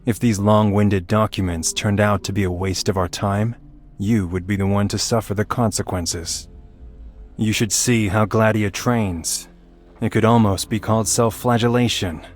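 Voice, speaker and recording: A man voicing a grave, ominous hunter warrior in a video game, speaking in a solemn, measured, formal way. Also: fairly low pitch, steady tone, soft background music, close to an everyday voice. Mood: grave, stern. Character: grave, ominous male abyssal hunter warrior, distrustful of land dwellers. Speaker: voice actor for a video game character. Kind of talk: scripted video game character lines.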